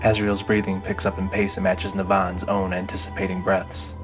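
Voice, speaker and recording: Low-pitched male drama narrator. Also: flat delivery, moderate pace, music in the background. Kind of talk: scripted audio drama narration.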